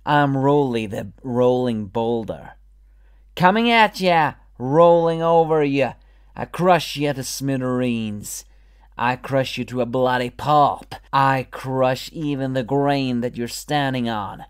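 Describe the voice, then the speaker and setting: A man's voice: mid-range voice, takes it slowly, clean tone, natural voice. A man doing a rowdy talking boulder. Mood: gleeful. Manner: rowdy, playful taunting. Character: gleeful rolling boulder, rough and boastful.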